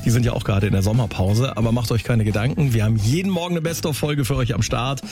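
A man's voice: medium pitch, quick pace. A male radio host introducing a segment in a breezy, chatty way. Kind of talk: radio show announcement. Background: music.

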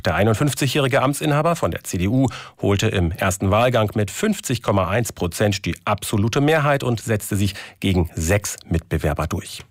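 A man reading regional radio news in a measured, formal studio delivery. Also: lowish voice, dry recording.

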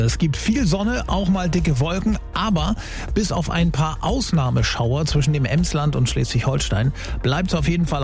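A man's voice: a little high, a little mellow. A man presenting on the radio in a chatty, informal way. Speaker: radio host. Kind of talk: casual radio presenting, weather and traffic. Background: music bed.

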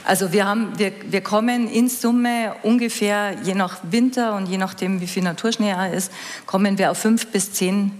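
A woman's voice: mid-range voice, fairly even intonation, moderate pace, darkish tone. A woman answering questions on a public panel, hesitant, with many restarts and fillers. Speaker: ski resort representative on a panel. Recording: hall reverb.

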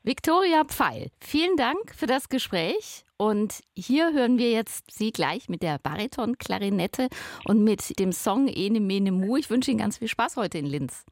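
Lively woman radio presenter. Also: mid-range voice, unhurried, no echo, studio quality. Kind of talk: radio interview and announcing. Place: radio studio. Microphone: studio microphone.

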